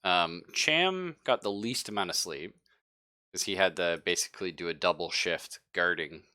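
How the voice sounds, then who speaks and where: A man's voice: low voice, expressive, moderate pace, bright, forceful tone, no room sound, clean studio sound. A man casually narrating a tabletop sci-fi horror game as game master, informal and jokey.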